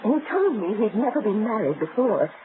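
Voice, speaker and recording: A woman's voice: medium pitch, sing-song, moderate pace, close to an everyday voice. An actress playing an anxious, pleading wife in her thirties, hesitant and plaintive in old radio drama style. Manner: hesitant, plaintive, breaking off and repeating words. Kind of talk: old-time American radio drama acting. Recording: muffled, noisy vintage radio recording.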